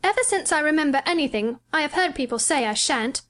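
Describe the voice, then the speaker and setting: A woman's voice: high voice, expressive, fast, a bit bright, voice pushed up. A woman reading an audiobook, voicing a sickly, spoiled ten-year-old boy who talks in a demanding, coolly indifferent way. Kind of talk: audiobook character voice.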